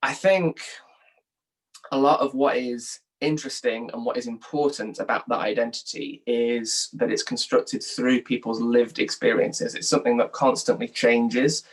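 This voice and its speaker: Young guy from england being interviewed, normal pitch, thoughtful informal answers, hedges a lot. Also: steady tone, normal speed. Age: young adult.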